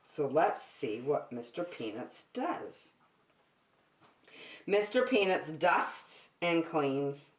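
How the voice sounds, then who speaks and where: A woman reading a picture book aloud to young children as a circle time teacher, expressive and speaking directly to her listeners. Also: low-pitched, drawn-out words, roomy sound. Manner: expressive, addresses young listeners with questions and prompts.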